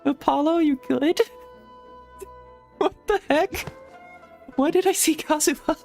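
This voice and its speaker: A man's voice: very high-pitched, slow, creaky, a little mellow. A man streaming a video game, chatting and rambling casually and laughing often. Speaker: VTuber streamer. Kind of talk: casual gameplay stream commentary. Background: faint game music.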